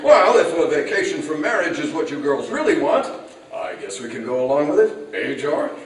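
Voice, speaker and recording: Actor doing teasing mock-reluctant 40s radio husband, lively delivery, echoey and far off the mic. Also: male voice, on the high side, normal speed. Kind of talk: old-time radio comedy acting before a live audience. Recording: echoey hall, distant from the mic.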